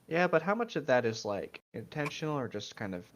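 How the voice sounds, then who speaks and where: A man's voice: mid-range voice, very animated, brisk. A man co-hosting a casual chat, teasing and joking wryly with some swearing. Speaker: co-host reading and mocking fan fiction. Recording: slightly dull, lo-fi mic.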